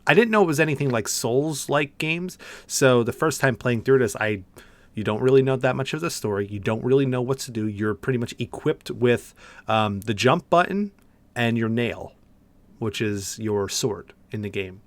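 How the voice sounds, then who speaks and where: A man's voice: medium pitch, expressive, moderate pace, no echo. A man co-hosting a podcast, chatting casually and enthusiastically about video games in an informal way. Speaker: podcast co-host. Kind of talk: casual video game discussion.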